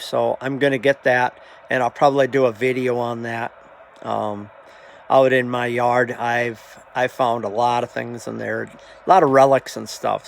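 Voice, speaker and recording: A man with a gravelly, creaky voice talking casually as a hobby video host, halting and plainspoken, often trailing off. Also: medium pitch, slight background noise. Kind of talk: casual rambling vlog talk.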